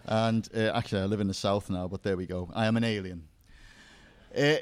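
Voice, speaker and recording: A man's voice: medium pitch, darkish tone. Joking Liverpool-accented man giving a talk. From England. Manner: self-deprecating, joking asides. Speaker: seminar speaker. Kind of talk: conference talk on faith.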